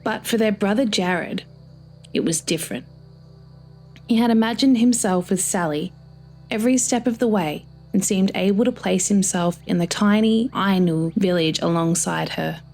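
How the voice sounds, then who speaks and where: A woman's voice: mid-range voice, moderate pace, darkish tone, faint music behind. A woman reading a scripted short story as its narrator.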